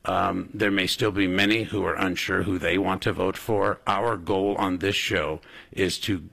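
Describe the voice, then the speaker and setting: A man's voice: low-pitched, steady tone, measured pace, gravelly, fairly bright tone. A man hosting a radio talk show, interviewing a candidate in a rambling, jokey way with many restarts. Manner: rambling, repeats words, jokey. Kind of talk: casual interview.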